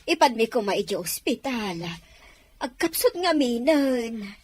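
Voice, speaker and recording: A woman's voice: fairly high pitch, animated, slow, slightly rough, heavily acted. An actress with an Ilocano accent playing a distressed elderly mother caring for a sick grandchild in a radio drama. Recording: loud dramatic music underneath.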